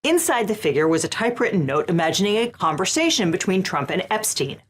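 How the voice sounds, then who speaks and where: A woman lawyer hosts the show and delivers scripted legal commentary with a wry, sardonic edge. Her voice sits low for a woman. Her pitch moves in a lively, animated way. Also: fast, slight background noise.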